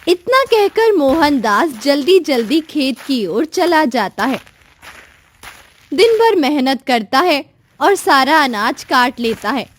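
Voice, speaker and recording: A woman's voice: high voice, sing-song, slow. A woman narrating a scripted moral story.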